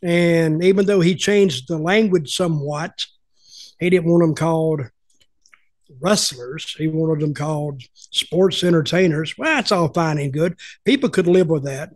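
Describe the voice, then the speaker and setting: Older guy rambling on about the old days, kinda high voice, dry sound. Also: unhurried, no echo. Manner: rambling, folksy, opinionated. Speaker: podcast host and storyteller. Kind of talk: casual storytelling chat. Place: home room with lamp, video call.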